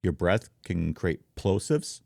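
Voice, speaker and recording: A man with a deep voice talking casually and informally as a streamer, explaining things as he demonstrates them into a close microphone. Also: big pitch swings, slow, creaky, darkish tone, dry recording. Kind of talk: casual explainer talk to camera. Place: treated room at home. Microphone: close foam-covered microphone, slightly offset from mouth.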